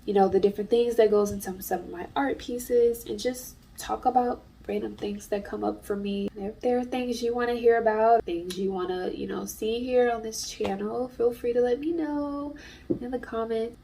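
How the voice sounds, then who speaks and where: A woman's voice: mid-range voice, gravelly, warm tone. Stuffy-nosed woman rambling on in a vlog, a bit far from the mic, faint hiss behind. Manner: chatty and earnest, many fillers. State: congested from allergies. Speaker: artist and small business owner. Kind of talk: casual vlog talk to camera.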